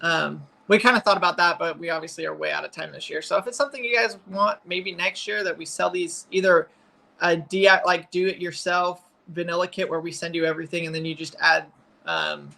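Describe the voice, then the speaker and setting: A man's voice: on the high side, a little fast, vocal fry, bright, forceful tone. A man in his twenties chatting casually and informally on a family livestream, rambling a little as host. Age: 20s.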